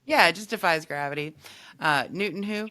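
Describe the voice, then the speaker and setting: Bright-voiced woman hosting a silly podcast. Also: on the low side. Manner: playful, jokey, quick to laugh. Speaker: podcast host and comedian. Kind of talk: casual comedic interview chat.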